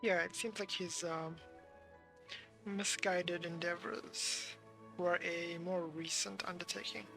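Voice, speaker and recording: A game streamer giving casual, musing, halting live commentary on a game's story. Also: medium pitch, level tone, gravelly, music in the background.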